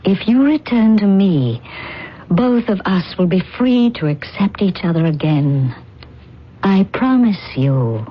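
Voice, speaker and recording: Pleading lover, very slow solemn speech, vintage radio drama, muffled lo-fi recording. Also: female voice, medium pitch, lively intonation, husky. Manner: solemn, drawn-out. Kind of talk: old radio drama acting. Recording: dull lo-fi radio broadcast.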